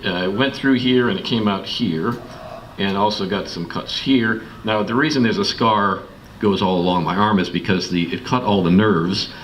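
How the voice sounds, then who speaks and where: A middle-aged man from Massachusetts with an American accent, telling a personal story to listeners in an informal, halting, reflective way. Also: on the low side, a bit bright. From Massachusetts, United States. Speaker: guest speaker telling his life story. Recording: distant mic, clearly noisy room recording.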